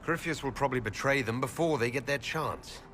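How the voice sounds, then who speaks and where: An actor playing a composed leader of a fantasy inquisition in a video game, speaking in a measured, inquiring way. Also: male voice, medium pitch, a bit bright, a little hiss or hum, lightly acted. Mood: reassuring. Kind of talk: dramatic fantasy game dialogue.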